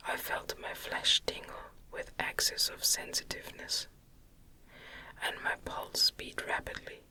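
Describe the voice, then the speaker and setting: A woman gives a whispered ASMR reading of a classic gothic story. Her delivery is soft, calming and very unhurried, with a crisp, bright edge to the breathy whisper. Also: rough recording. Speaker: audiobook reader.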